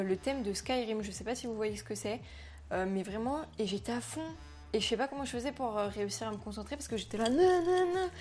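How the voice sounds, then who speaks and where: A young woman, a French native speaker, chatting to camera in a slangy, animated way, talking about herself as a vlogger. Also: medium pitch. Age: young adult. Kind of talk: casual chat to camera.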